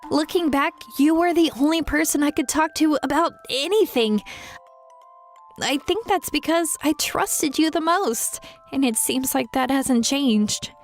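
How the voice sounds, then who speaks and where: The high-pitched, proud and argumentative young girlfriend from a romcom manga dub, acted by a woman, heard over soft music in an online video. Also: unhurried. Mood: earnest, tender. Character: feisty, proud young career woman, secretly vulnerable ex-girlfriend. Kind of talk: dramatized romantic comedy dialogue.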